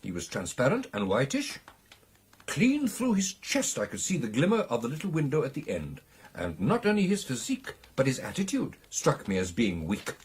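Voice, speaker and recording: An actor with a British accent playing a genial, earnest gentleman clubman in a radio drama, speaking in old-fashioned upper-class phrases. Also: mid-range voice, wildly swooping pitch, normal speed, a little hiss or hum. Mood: intent storytelling. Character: genial, earnest English gentleman clubman telling a ghost tale.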